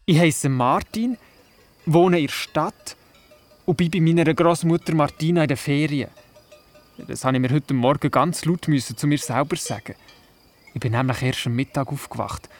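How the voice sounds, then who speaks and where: A man's voice: fairly high pitch, natural voice. A man with a Swiss German accent playing a young, curious boy who narrates and talks in a radio play. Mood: reflective. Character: young boy on holiday at his grandmother's, curious.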